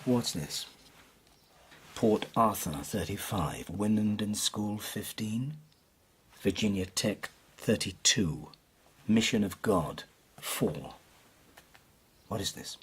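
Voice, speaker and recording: A man acting in an audio drama as a stern, concerned schoolmaster, measured and probing as he questions a pupil. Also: lowish voice, animated, husky, heavily acted.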